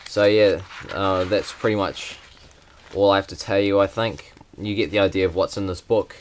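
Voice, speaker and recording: A young man, speaking in a deep voice that barely moves in pitch. He talks plainly and haltingly, with many fillers, as he shows things to a camera. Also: fairly even intonation, a little hiss or hum. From New Zealand. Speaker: vlogger. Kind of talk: casual unboxing vlog.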